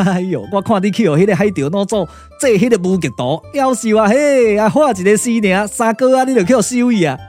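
An actor with a Taiwanese accent playing a comic, boastful, loyal sidekick in puppet radio drama, earthy and full of exclamations. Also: male voice, high voice, expressive, fairly quick, hardly any pauses, darkish tone, faint music behind. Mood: relieved, chatty. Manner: colloquial, exclamatory, drawling.

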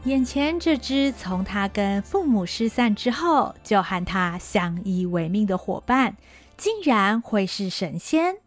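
A woman's voice: medium pitch, very animated, a little slow, faint music behind. A woman with a Taiwanese Mandarin accent narrating a radio drama with vivid storytelling.

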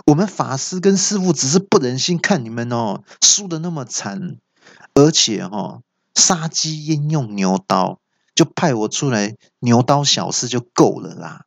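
A man playing a jittery, untrained young male apprentice with a shrill, whiny voice. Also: sing-song, normal speed, dry recording. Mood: protesting.

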